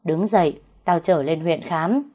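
A woman's voice: on the low side, a little slow, mellow. A woman voicing a young man, blunt but teasing, in a romantic story narration. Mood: blunt, firm. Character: young man, medical student, blunt yet teasing lover.

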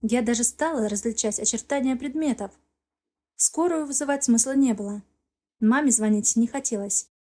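A woman narrating a first-person novel as an expressive, scripted audiobook reading. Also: a little high, normal speed.

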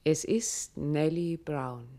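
A woman narrating a radio play in a measured, literary and descriptive way. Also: sits low, mellow.

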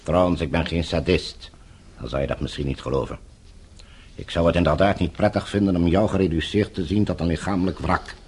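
An actor playing a falsely courteous, menacing captor and regime agent in a Dutch radio drama, speaking in measured, formal, condescending phrases. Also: male voice, deep voice, steady tone, darkish tone, slightly rough. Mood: coolly menacing.

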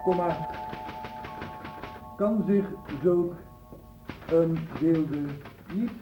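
An actor playing a tactful, earnest senior doctor in a radio drama, speaking in a measured, articulate, reasoning way. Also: male voice, high-pitched, reverberant, dull audio, music in the background. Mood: measured dictation. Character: tactful, earnest male doctor heading a department.

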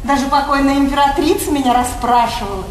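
A woman's voice: sits high, fast, close to an everyday voice. An actress playing a vain, effusive aristocratic lady in a stage comedy, with affected speech full of French endearments. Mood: rapturous boasting. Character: gushing, vain aristocratic lady, a princess in 1800. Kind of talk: theatrical stage comedy acting. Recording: echoey theatre hall, noisy 1980s recording.

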